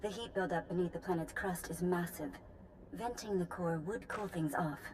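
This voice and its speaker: An actress playing a practical scientist reporting technical readings in a video game. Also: female voice, on the low side, moderate pace, darkish tone, a little hiss or hum, lightly acted. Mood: concerned, analytical. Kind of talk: video game cutscene acting.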